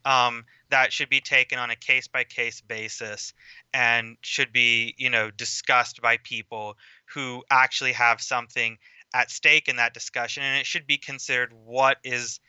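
A man speaking as a podcast guest in casual film discussion, talking in a measured, explanatory way at length. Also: medium pitch, level tone, bright, forceful tone, smooth, no room sound, low-quality recording.

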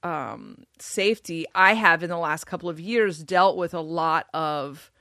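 A woman with a deep voice in casual conversation, thinking aloud with pauses and restarts. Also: animated, bright, forceful tone, no echo, studio quality. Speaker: podcast guest.

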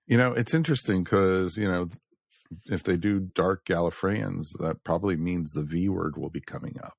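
A man's voice: low-pitched, normal speed, vocal fry, no echo, studio quality. A man co-hosting a fan podcast in casual banter, chipping in with short comments. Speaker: podcast co-host.

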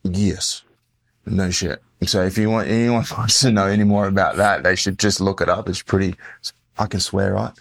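A man's voice: sits low, steady tone, moderate pace, vocal fry. A man in his forties with an Australian accent, a podcast guest telling his life story in a rambling, self-correcting way. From born in the US, raised in Western Australia. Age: 40s. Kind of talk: casual interview talk.

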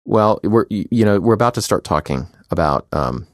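A man's voice: on the low side, expressive, normal speed, no echo, studio quality. A man co-hosting a chatty podcast, rambling with restarts and easy laughs. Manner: rambling, self-interrupting, laughs easily. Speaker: podcast co-host and editor. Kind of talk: casual chat.

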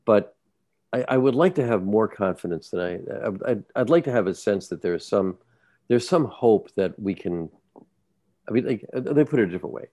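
Deep-voiced male journalist, casual podcast talk, halting with restarts, dry sound. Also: expressive, mellow, dry recording. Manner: halting, many restarts and repeated words.

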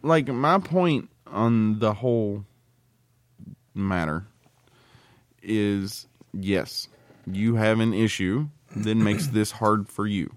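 A man with a fairly low voice talks like a casual podcast co-host. He rambles and halts through his explanations in a joking way. The sound is dry, with very little room. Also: takes it slowly, no echo.

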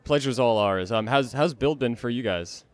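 A man's voice: medium pitch, moderate pace, no room sound. A man hosting a podcast, asking questions in a casual, conversational style. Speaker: podcast host.

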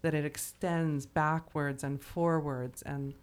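A woman's voice: low voice, level tone, slow. A woman hosting a podcast, discussing literature conversationally, thoughtful and hesitant as she searches for words. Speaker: podcast host.

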